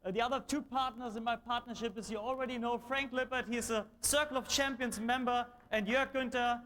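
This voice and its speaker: German-accented man, very high voice, eager stage speaker. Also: steady tone, brisk, bright, forceful tone. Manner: enthusiastic, slightly nonstandard English. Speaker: motivational speaker at a sales event. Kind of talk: stage speech. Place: large event hall on stage.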